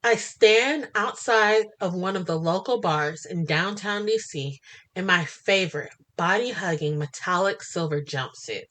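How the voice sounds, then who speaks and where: A woman narrating a first-person romance story in an expressive, flirty and sassy way. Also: lowish voice. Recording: music bed under the voice. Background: music.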